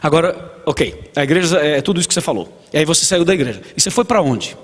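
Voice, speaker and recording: A man's voice: on the high side, expressive, quick pace, fairly bright tone. A man with a Brazilian Portuguese accent, a pastor preaching a sermon, telling stories, acting out dialogue and asking the congregation questions. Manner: storytelling, acts out dialogue, asks the congregation. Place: church hall. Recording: long church reverb.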